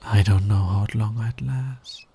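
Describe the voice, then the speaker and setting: A man playing a sly, teasing, dominant fantasy villain in an intimate ASMR roleplay, breathy and husky, often near a whisper. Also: lowish voice, fairly even intonation, dark tone, heavily acted. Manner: slow, teasing, deliberate delivery. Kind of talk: intimate ASMR roleplay, romantic audio drama.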